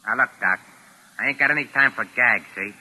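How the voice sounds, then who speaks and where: A man's voice: mid-range voice, brisk, pushed and bright. An actor playing a menacing American gangster henchman in a 1940s radio thriller, talking slangy, bossy and threatening. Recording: noisy vintage radio broadcast recording.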